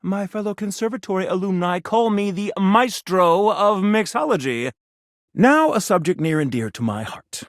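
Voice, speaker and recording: A man's voice: high-pitched, sing-song, normal speed, no room sound, studio quality. An actor playing a pompous, flamboyant male mascot with grandiose, theatrical diction, a snobbish connoisseur in a video game. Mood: boastful, refined. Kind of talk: video game character acting.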